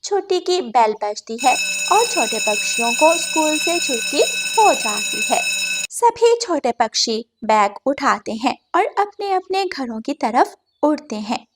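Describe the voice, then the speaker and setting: A woman's voice: high-pitched, sing-song, measured pace, very noisy. A woman narrating a scripted cartoon bird story.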